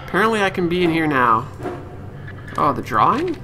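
A man's voice: fairly high pitch, big pitch swings, moderate pace. A man giving live let's play commentary, muttering short reactions to himself as he plays. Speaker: gaming streamer. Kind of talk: let's play live stream commentary, reading game text aloud. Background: game music.

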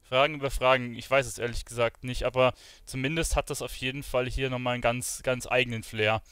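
A man's voice: mid-range voice, fairly even intonation, fairly quick, a bit bright, clear, resonant tone, no echo, studio quality. A man giving casual let's play commentary, musing aloud in slangy language. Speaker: gaming streamer playing and commenting.

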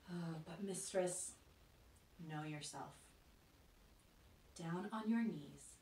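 An actress playing a haughty, witty young Shakespearean heroine, delivering verse with mocking, deliberate stage emphasis. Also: female voice, fairly low pitch. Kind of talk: classical stage monologue in verse. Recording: roomy echo, distant mic, faint noise.

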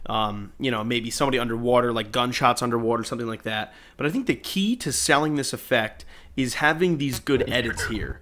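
A man's voice: mid-range voice, fairly quick, hardly any pauses, a bit bright. A man narrating a video editing tutorial in an informal, explanatory way. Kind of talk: casual screen-recorded tutorial narration. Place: indoors, voice-over at a computer.

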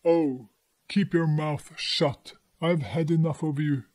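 A lazy, sullen young woman with a deliberately manly voice, as a man puts her on. She drawls slowly in a dark, husky tone with a mocking edge. The sound is dry and close. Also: a little high, fairly even intonation, no echo. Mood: annoyed.

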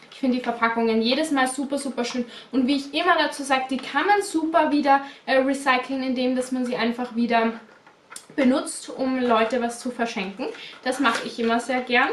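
A young woman in her twenties chatting casually as a beauty vlogger, slightly stuffy from a cold, repeating words and filling pauses. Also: high-pitched, slight background noise. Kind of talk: casual unboxing chat. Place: small bedroom studio indoors. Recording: roomy echo, a bit distant.

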